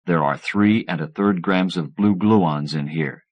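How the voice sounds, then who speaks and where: A man's voice: sits low, unhurried, muffled recording, voice pushed down. A man voicing a haughty, scornful male professor with a pompous, sarcastic delivery in an audiobook. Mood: grudging. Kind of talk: acted audiobook dialogue.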